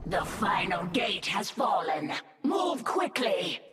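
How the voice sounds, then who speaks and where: A woman voicing a proud, ferocious alien swarm broodmother in a video game, imperious, deliberate and menacing, devoted to her queen. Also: medium pitch, sing-song. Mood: urgent, commanding. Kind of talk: video game character acting. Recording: echoing, reverberant, distant, over game music.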